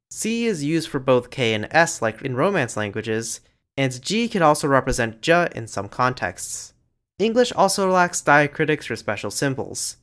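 Guy doing a language explainer voice-over, kinda high voice, bouncy delivery. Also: fairly bright tone. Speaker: language channel narrator. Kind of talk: scripted educational narration.

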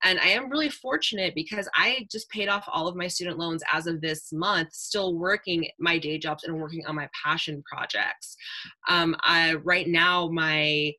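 Young woman on a webcam call, low-ish bright voice, earnest, lots of like and um. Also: normal speed, poor audio. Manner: earnest, reflective, with frequent "like" and "um". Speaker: panel speaker, writer. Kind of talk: casual panel talk. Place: indoor room with a virtual backdrop. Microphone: webcam on a video call.

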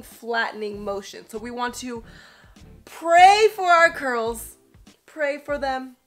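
A woman's voice: on the high side, a bit bright. A woman in her thirties giving a chatty, upbeat hair tutorial to the camera in a small studio. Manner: chatty, upbeat, coaching viewers. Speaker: beauty vlogger. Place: small indoor studio with grey backdrop.

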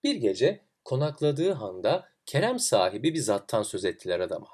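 A man narrating a literary fairy tale in a theatrical, expressive way with deliberate phrasing. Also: mid-range voice. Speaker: storyteller, host of a tale series.

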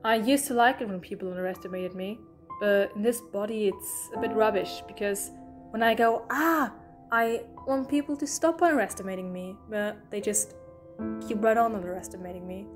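A woman's voice: mid-range voice, normal speed, soft background music, close to an everyday voice. A woman playing a quirky, talkative, self-doubting alien time traveller in an audio drama, rambling eccentrically. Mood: reflective. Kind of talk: fan audio drama acting.

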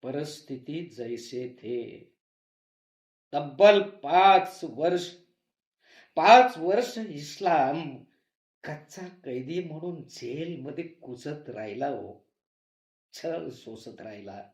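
A man's voice: fairly high pitch, lively intonation, slow, pauses often. A man playing an anguished narrator who cries out for justice, declaiming a theatrical monologue with emphatic repetition. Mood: sorrowful storytelling. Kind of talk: theatrical monologue in a play reading. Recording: echoey room recording.

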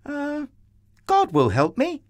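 A man voicing a small, brave, earnest young shepherd boy in a children's storybook, speaking up in a high voice. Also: wildly swooping pitch, slow pace, full character voice. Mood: hesitant.

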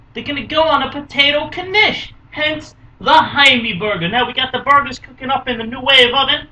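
A man, hosting a casual home cooking video in a small kitchen with energetic, hammy showman patter. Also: very high voice, recorded from a distance. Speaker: cooking show host. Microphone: camera mic close by. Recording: dull lo-fi webcam audio from a bit off-mic.

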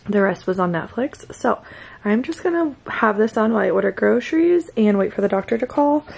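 A young woman chats candidly to a camera set back across a room, and her voice carries a roomy echo. She talks at an everyday middle pitch, rambling with fillers. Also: gravelly, darkish tone, distant mic. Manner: chatty, candid, filler-heavy. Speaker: vlogger. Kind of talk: casual chat to camera. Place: home bathroom, indoors. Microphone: far camera.

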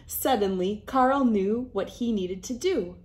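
A woman in her thirties reading a children's storybook aloud with expressive, deliberate delivery. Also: medium pitch. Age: 30s. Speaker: children's book reader. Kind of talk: read-aloud storybook narration. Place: small wood-panelled room indoors.

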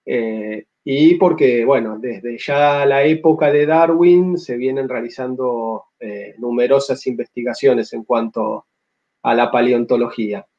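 A man in his thirties with an Argentine accent, giving a measured academic slide talk over a video call, pausing often with filler sounds. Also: mid-range voice. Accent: Argentine Spanish. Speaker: paleontology lecturer. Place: home room on a video call. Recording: slightly dull lo-fi audio.

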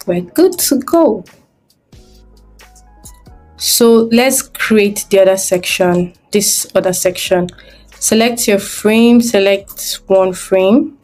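A woman's voice: mid-range voice, takes it slowly, a little mellow, faint music behind. A woman giving a screen-recorded design tutorial, thinking aloud step by step as she works.

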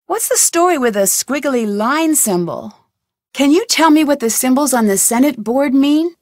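A woman's voice: high-pitched, expressive, normal speed, darkish tone, no echo, clean studio sound. An actress voicing a curious, determined young female amateur detective in a video game, asking earnest questions. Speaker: game protagonist. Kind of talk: studio video game voice lines.

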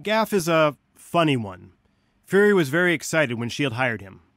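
An actor playing an earnest scientist who leads a resistance, guiding heroes through video game missions in a measured, dryly wry way. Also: male voice, on the high side, big pitch swings, a bit bright, no room sound. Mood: calm, wry.

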